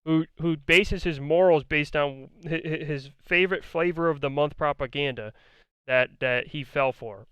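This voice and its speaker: Blunt ranting man, slightly high voice. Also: moderate pace, a bit bright, smooth, dry recording. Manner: argumentative, blunt, profane, repeats himself. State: hoarse, losing his voice. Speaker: commentator. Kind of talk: ranting commentary on a debate.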